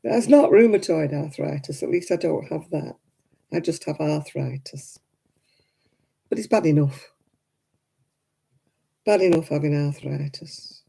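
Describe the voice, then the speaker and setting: A woman in her seventies hosting a crafting livestream, chatting homely and warmly with viewers by name and laughing often. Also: deep voice, a little slow, vocal fry, pauses often, breathy edge. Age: 70s. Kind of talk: casual chat reading out viewer comments.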